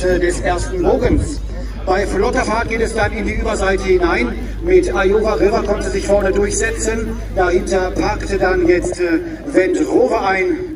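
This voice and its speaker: A man giving live horse race commentary over an outdoor public-address system, heard from a distance. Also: sits high. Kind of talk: live harness racing commentary. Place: outdoors at a grass racetrack. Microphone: public-address speakers picked up from afar. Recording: distant echoing trackside PA, loud crowd.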